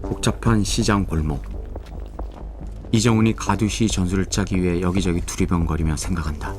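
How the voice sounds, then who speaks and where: A man narrating a radio drama in a steady, descriptive way. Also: deep voice, normal speed. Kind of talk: scripted narration. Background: background music.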